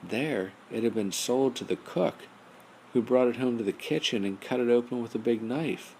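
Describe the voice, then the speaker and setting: A man narrating a children's bedtime story in a measured storybook style, as an audiobook reader. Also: medium pitch, gravelly, a little mellow. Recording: noisy amateur home recording.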